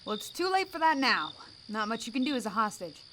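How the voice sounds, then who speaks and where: A woman's voice: a little high, big pitch swings, fairly quick, noisy background. A woman playing a protective, defiant ship's captain in an audio drama, commanding and dry. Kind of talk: scripted audio drama acting.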